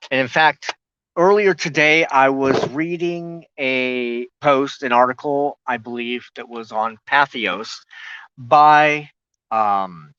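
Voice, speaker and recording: A man hosting a spiritual talk show, explaining loosely and haltingly, often pausing mid-sentence to search for words. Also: mid-range voice, sharp tone, clear, resonant tone, dry recording, lo-fi sound.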